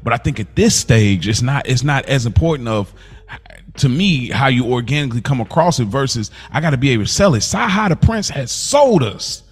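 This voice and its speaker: A man's voice: mid-range voice, big pitch swings, fairly quick, breathy edge. An American man co-hosting a casual podcast, talking in an emphatic, encouraging way and often repeating himself for effect. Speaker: podcast co-host. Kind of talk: casual chat. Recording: music playing behind the talk.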